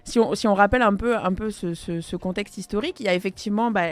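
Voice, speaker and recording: A podcast host with a French accent, chatting casually in a halting way with restarts. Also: medium pitch. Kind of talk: casual interview chat.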